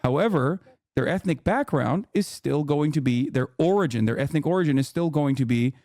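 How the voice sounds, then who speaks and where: A man from Turkey, a native Turkish speaker, hosting a live debate and explaining at length in a patient, deliberate way. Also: fairly high pitch, lively intonation, warm tone, dry recording, clean studio sound.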